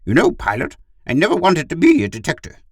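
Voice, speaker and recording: A man's voice: a little high, huge pitch swings, fast talker, slightly rough, no room sound, clean studio sound, voice pushed up, heavily acted. A man voicing a complacent, carefree alien shapeshifter in dog form for an audiobook story. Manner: carefree, stubborn, earnest. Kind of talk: audiobook character voice.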